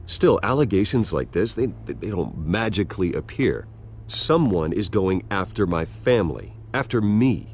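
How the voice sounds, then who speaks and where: An actor playing a guarded, wealthy heir in a video game, with a measured, serious manner. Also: male voice, low-pitched, big pitch swings, a little hiss or hum. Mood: guarded, resolute. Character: wealthy, guarded male heir under public attack.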